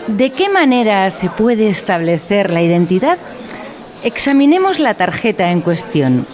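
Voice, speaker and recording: Pompous, animated lady lecturer. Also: mid-range voice, a little slow. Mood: solemn, didactic. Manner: formal, didactic, pompous wording. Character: pedantic female instructor of a mock-educational course. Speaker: narrator in an audio drama. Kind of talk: mock-educational radio play narration.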